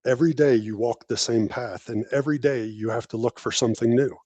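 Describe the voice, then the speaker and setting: A man's voice: mid-range voice, fairly even intonation, fairly quick, warm tone, no room sound, dull audio. A man giving conversational interview answers, explaining things plainly in short declarative phrases. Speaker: podcast guest, technology professional and army veteran.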